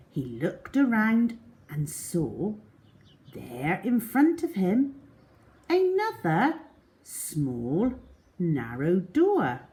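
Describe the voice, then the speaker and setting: Slow, animated woman reading a storybook. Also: mid-range voice. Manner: slow, deliberate, expressive storytelling with drawn-out words. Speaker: children's storyteller. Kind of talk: children's storybook read-aloud.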